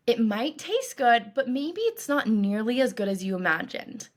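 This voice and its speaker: A woman coach leading a guided meditation in a calm, reassuring, instructive way, with deliberate phrasing. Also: on the high side. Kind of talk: guided meditation, scripted narration.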